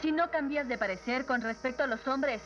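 A woman's voice: high-pitched, brisk, highs cut off, lightly acted. A woman playing a brave, earnest young warrior with a persuasive manner in a Latin American Spanish cartoon dub. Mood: pleading. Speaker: voice actor in a dubbed cartoon. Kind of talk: dramatic cartoon dub acting. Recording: dubbed cartoon with music underneath.

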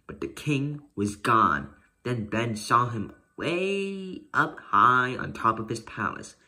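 A man's voice: mid-range voice, very animated, slow, bright, forceful tone. A man reading a children's storybook aloud as narrator. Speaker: storybook reader.

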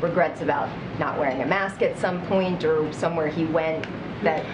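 A woman's voice: low voice, moderate pace. A woman reporter asking probing questions from the seats of a briefing room. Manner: probing, persistent. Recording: roomy, noisy, off-mic.

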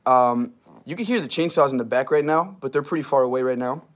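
A young man in his twenties talks on a relaxed livestream, his voice slightly hoarse and strained. He rambles loosely, full of fillers and quick laughs, as he answers viewer comments. He sounds close to a device camera held at arm's length in a small wood-paneled garage room. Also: medium pitch. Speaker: livestream host. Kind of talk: casual livestream chat reading comments.

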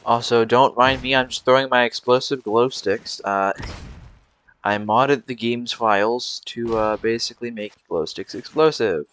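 A man's voice: medium pitch, a little slow, fairly bright tone, slight background noise. A young man giving rambling, casual commentary over gameplay, with frequent fillers and restarts. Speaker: gameplay video creator.

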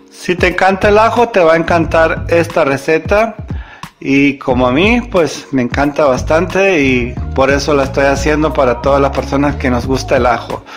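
A man hosting a cooking show, chatting casually and rambling, often correcting himself as he talks to the viewer like a friend. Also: on the high side.

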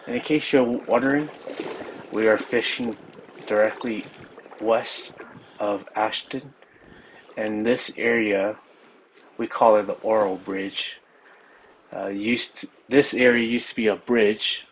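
A man explaining his fishing trip and gear in a relaxed, casual way on a snowy riverbank, recorded on a body camera. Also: lowish voice, slow pace, long pauses, slight background noise, poor audio. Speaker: fishing vlogger. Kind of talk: casual outdoor narration.

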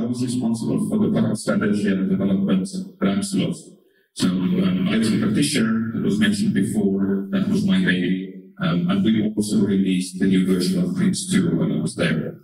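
A man in his thirties, from Estonia, speaks with an Estonian accent in a fairly low voice. He talks into a handheld mic, his words booming through a big hall and picked up from well back in the room. His delivery is relaxed and wry, and he often checks in with "right?". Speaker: conference speaker. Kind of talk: conference keynote talk. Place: large conference hall, indoors. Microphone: handheld microphone through hall speakers. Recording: echoey hall, from far off.

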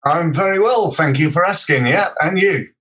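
A man's voice: fairly high pitch, normal speed, sharp tone, slightly rough. A middle-aged man with a Yorkshire accent, telling his life story as a podcast guest, hesitant with many fillers and restarts. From Sheffield, England. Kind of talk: casual interview storytelling.

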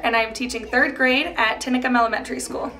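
Higher-pitched young American woman. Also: fairly even intonation, fast talker, sharp tone, a little hiss or hum. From Pennsylvania, United States. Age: 20s. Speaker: elementary school teacher. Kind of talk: informal interview answers. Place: indoors at a school, standing.

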